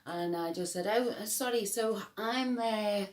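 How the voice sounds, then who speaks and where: A woman's voice: mid-range voice, takes it slowly, echoey room. A middle-aged woman with a Scouse accent, telling her personal story to camera in an emphatic, rambling way with many restarts. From Liverpool, England.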